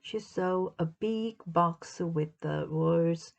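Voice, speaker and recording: A woman reading a children's story aloud as narrator, in a deliberate, expressive storytelling manner. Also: fairly low pitch, creaky. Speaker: storybook reader.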